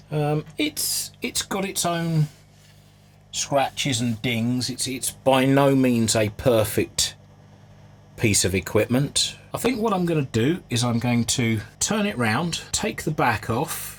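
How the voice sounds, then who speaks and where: A man giving an unscripted, hands-on inspection commentary as a restorer, thinking aloud in a measured, understated way. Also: medium pitch. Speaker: vintage radio restorer presenting a repair video.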